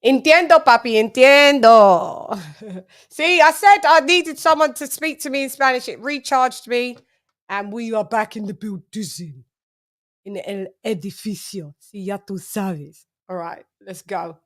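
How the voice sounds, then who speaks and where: A woman's voice: a little high, a little slow, fairly bright tone. A woman with an English accent hosting a casual live chat, laughing often and switching between English and Spanish. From England. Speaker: livestream host.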